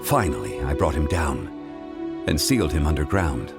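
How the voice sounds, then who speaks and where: An actor playing an ancient, stoic god in human form in a video game, speaking in a measured, formal and grave manner. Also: male voice, very deep voice, big pitch swings, creaky, loud music behind the voice, exaggerated voice. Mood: solemn, reflective. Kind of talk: video game story voice acting.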